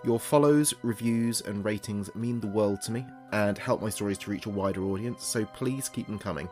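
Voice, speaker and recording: Low-pitched man with a cold, over music. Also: moderate pace, darkish tone. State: recovering from a cold-like illness. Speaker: podcast host and writer. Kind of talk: scripted podcast introduction. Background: music behind the voice.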